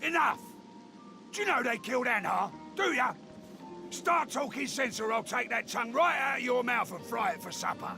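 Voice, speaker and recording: An actor playing a threatening dwarf crime boss in a fantasy game, with rough, forceful, colloquial speech. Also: male voice, very high voice, lively intonation, normal speed, over music. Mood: furious, threatening.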